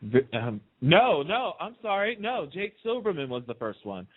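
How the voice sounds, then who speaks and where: A man's voice: on the high side, very animated, normal speed, narrow, tinny audio. A man chatting casually as a radio guest, telling stories and repeating phrases for emphasis. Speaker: radio host being interviewed. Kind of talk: casual chat, storytelling.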